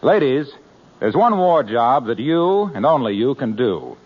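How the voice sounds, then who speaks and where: A male announcer reading commercials and sign-offs for a 1940s radio show, in a smooth, persuasive manner. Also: medium pitch, a little slow. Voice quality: smooth, persuasive, lively delivery. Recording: muffled old radio broadcast.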